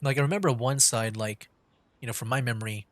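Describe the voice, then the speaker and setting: A man's voice: medium pitch, fairly quick, no room sound. A man giving casual gaming commentary as a streamer, rambling and hesitant, close to the microphone. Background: faint game music.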